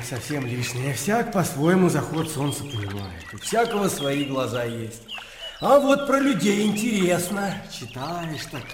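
An actor playing a plain-spoken, opinionated peasant in a radio drama, folksy, earnest and questioning. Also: male voice, on the high side. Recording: echoey, noisy outdoor radio drama scene.

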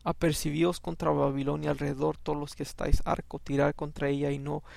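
Gravelly, flat-voiced man reading scripture. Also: fairly high pitch, no room sound. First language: Spanish. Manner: halting, conversational, many restarts. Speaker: podcast Bible teacher. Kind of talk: informal Bible podcast and scripture reading.

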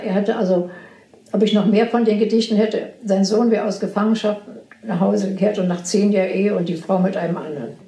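A very old woman, a poet, telling anecdotes from her past in animated, casual conversation. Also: mid-range voice. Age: 80s or older. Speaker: poet recalling her career. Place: indoors in a room. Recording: roomy echo with music.

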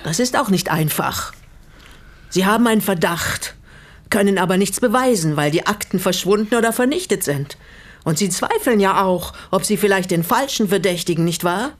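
Actress as composed, probing older woman, medium pitch. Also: expressive, natural voice. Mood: knowing, calm. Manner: measured, probing, pointed. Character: shrewd, composed elderly female hostess quietly seeking justice. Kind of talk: radio play acting.

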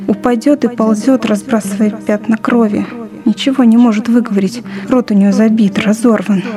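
A woman's voice: a little high, lightly acted. A woman playing a frightened young woman. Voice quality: anxious, searching inner monologue. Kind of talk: first-person radio drama monologue.

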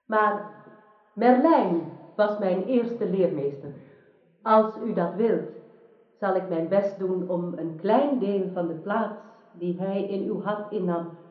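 A woman playing a demure, scheming sorceress and king's sister in a radio drama, speaking in a measured, formal way. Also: mid-range voice, close to an everyday voice. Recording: muffled phone-like band, roomy sound.

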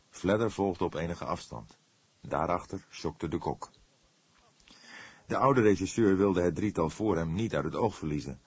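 A man reads a detective novel as a dramatic, measured and suspenseful audiobook narration. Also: sits low, slightly rough, no room sound.

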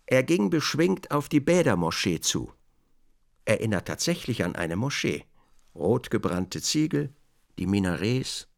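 A middle-aged man narrating a literary radio drama in a measured, deliberate storytelling manner. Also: fairly low pitch, very animated, gravelly.

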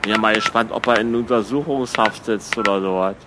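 A male actor plays a sceptical friend who needles and questions in casual radio-play banter. His voice is on the low side and quick, with faint noise behind it. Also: lightly acted.

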